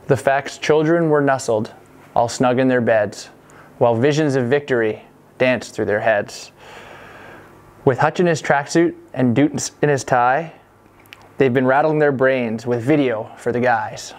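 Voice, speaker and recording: A man reading a scripted rhyming holiday poem in measured storytelling phrases. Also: medium pitch. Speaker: reader of a Christmas poem parody. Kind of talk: scripted verse narration.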